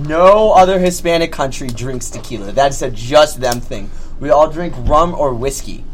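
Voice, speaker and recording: A man's voice: medium pitch, expressive, moderate pace, a little hiss or hum. A man from Florida with a Cuban family, co-hosting a casual podcast and making his points with emphatic repetition. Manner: emphatic, repeats himself. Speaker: podcast co-host. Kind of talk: casual chat.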